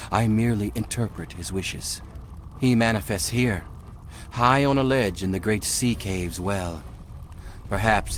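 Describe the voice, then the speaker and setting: An actor voicing a serene, devout male cult leader in a video game, soft-spoken and formal. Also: on the low side, slight background noise, close to an everyday voice.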